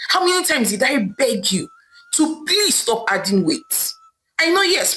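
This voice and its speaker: A woman's voice: fairly high pitch, a bit bright, slight background noise, low-quality recording. A woman voicing an angry husband who mocks and scolds his wife. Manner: scolding, mocking. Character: scornful husband, angry and dismissive.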